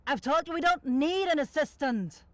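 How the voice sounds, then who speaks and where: A woman's voice: sits high, animated, moderate pace, no echo, pitched above the performer's own voice. A brusque demon woman who runs a fantasy soul shop, acted by a woman. She is irritable and curt, recorded close and dry in a roleplay audio style. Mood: irritated, impatient. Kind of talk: fantasy ASMR roleplay acting.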